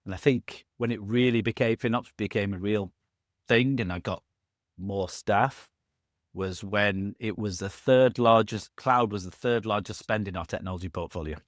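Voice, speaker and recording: Middle-aged man, low-pitched, loose and jokey guest, dry recording. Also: normal speed, no echo. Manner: rambling, self-correcting, jokey asides. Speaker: podcast guest. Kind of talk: casual conversational interview.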